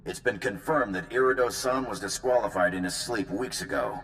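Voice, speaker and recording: A dry, businesslike hunt official in a video game. His lowish voice is sharp and clipped, over a faint rumbling noise. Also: moderate pace. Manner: clipped, matter-of-fact. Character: cool, dry male official of a bounty hunt.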